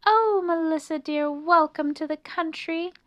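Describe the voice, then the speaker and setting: A woman voicing a timid, polite female country mouse in a children's storybook, squeaky and fluttery. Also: expressive, unhurried, darkish tone, dry recording. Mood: delighted.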